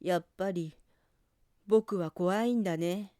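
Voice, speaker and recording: A woman voicing a lonely, gentle big male bear in a storybook, subdued and sincere. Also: lowish voice, measured pace, no room sound, studio quality, natural voice. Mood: dejected.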